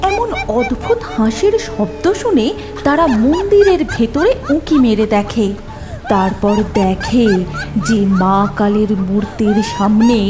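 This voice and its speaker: High, animated woman storyteller. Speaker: narrator. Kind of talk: dramatic scripted horror cartoon narration. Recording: loud background music.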